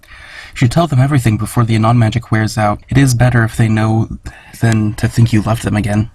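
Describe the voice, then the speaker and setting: Husky man casually reading out questions. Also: lowish voice, steady tone, fairly quick, a little mellow, no echo, rough recording. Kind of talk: casual reading of audience questions in a comic dub.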